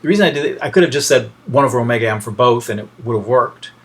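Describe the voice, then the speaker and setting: A man with a mid-pitched voice delivers an informal technical lesson. He talks like a lecturer working through steps aloud, often pausing mid-sentence to find the next word. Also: fairly bright tone.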